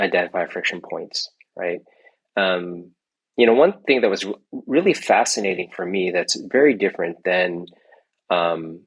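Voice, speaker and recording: Gravelly low-voiced man, rambling. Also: fairly bright tone, poor audio. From United States. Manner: rambling with many restarts and tag questions. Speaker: entrepreneur guest. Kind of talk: casual interview conversation.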